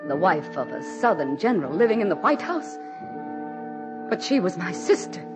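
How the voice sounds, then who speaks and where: Intense grieving high-strung wife, old radio drama, theatrical, music in the recording. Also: female voice, sing-song, normal speed. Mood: sombre. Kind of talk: 1940s radio acting, dramatic narration.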